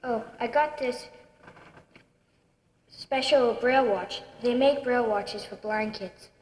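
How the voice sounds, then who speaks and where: A woman voicing a calm blind eleven-year-old boy in a children's puppet show, patiently explaining. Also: fairly high pitch, slow pace. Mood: friendly. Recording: echoey hall.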